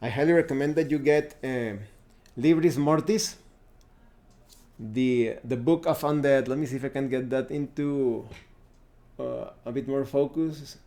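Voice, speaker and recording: A man giving a casual, unscripted review of roleplaying games, pausing now and then to search for words. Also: mid-range voice, mellow. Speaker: tabletop roleplaying game reviewer.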